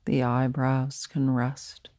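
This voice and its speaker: A woman leading a guided meditation as a teacher, speaking softly and soothingly in short, unhurried phrases with pauses between them. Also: very deep voice, flat delivery, no echo, clean studio sound.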